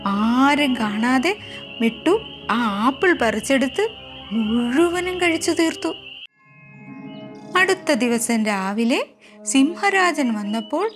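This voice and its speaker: Woman, children's storyteller, high voice, slow expressive narration, careful diction, faint music behind. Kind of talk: scripted moral story narration.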